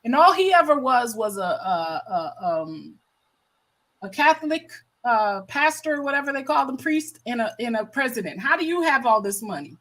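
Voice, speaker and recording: A woman's voice: a little high, big pitch swings, moderate pace, fairly bright tone, low-quality recording. An American woman hosting a livestream with casual, impassioned commentary, stressing points by repeating her phrases. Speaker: livestream host.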